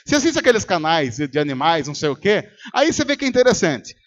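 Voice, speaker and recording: A Brazilian pastor delivers a sermon in a noticeably high voice. He argues rhetorically, keeps quizzing the congregation and acts out both sides of a debate. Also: big pitch swings, quick pace, dull audio. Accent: Brazilian Portuguese. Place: church service.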